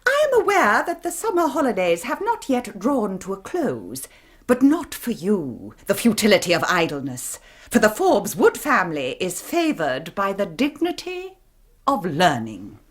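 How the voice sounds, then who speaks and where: Strict English governess, pompous, commanding, wildly swinging intonation, husky edge, radio drama. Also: female voice, mid-range voice, wildly swooping pitch, unhurried, full character voice. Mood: imperious. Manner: commanding, crisply formal, theatrical scolding. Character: stern, pompous Victorian governess, cold and strict. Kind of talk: 1990s radio drama acting.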